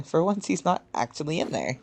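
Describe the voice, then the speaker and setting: A woman's voice: low-pitched, sing-song, normal speed, a little mellow, husky. A woman giving casual, off-the-cuff commentary while playing a video game. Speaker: gamer reacting while playing.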